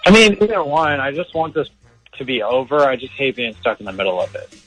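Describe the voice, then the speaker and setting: A man's voice: mid-range voice, very animated, brisk, fairly bright tone, low-quality recording. A young man calling a radio show, telling a personal story in a casual, earnest way. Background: music.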